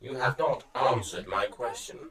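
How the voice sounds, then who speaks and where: A man's voice: mid-range voice, moderate pace, a crowd in the background, natural voice. A man playing a solemn, pedantic quest-giver in a comic fantasy audio drama, portentous yet matter-of-fact. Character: solemn, pedantic male quest-giver who sets riddling tasks. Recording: slightly distant from the microphone.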